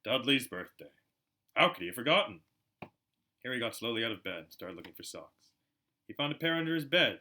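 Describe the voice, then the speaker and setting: A man reading aloud as an amateur narrator, expressive and storybook-like, with a chatty side. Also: medium pitch. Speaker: amateur audiobook reader.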